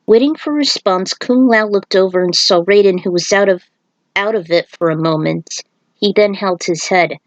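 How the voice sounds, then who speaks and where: A woman's voice: medium pitch, normal speed, no room sound, lo-fi sound. Woman reading an action story aloud, dry sound. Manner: stumbles over words and rereads. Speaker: narrator reading fan fiction. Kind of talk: scripted narration of an action story.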